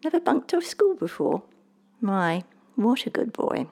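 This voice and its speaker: A woman voicing a mysterious little man, teasing, brisk and breezily insistent, for an audiobook story. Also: on the high side, sing-song, vocal fry, a little mellow, voice pushed up, heavily acted. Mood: goading. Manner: teasing, brisk, matter-of-fact.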